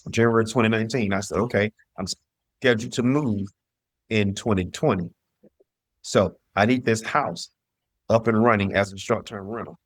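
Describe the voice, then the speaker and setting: A man's voice: lowish voice, no echo. A middle-aged man from the southern US telling his personal story as an interview guest, emphatic and repeating phrases as he voices his own past thoughts. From southern US (Mississippi, North Carolina).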